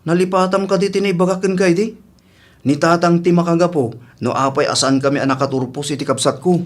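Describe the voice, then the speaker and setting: A man's voice: fairly high pitch, steady tone, a little fast, natural voice. A man playing a brash, impatient grown son in a radio drama, insistent and dismissive, with an Ilocano accent. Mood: resentful. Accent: Filipino (Ilocano).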